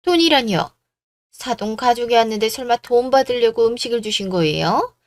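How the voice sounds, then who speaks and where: A woman's voice: fairly high pitch, expressive, normal speed, dry recording. A woman playing a haughty, entitled future mother-in-law. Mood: indignant. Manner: condescending, presumptuous. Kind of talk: Korean radio story drama.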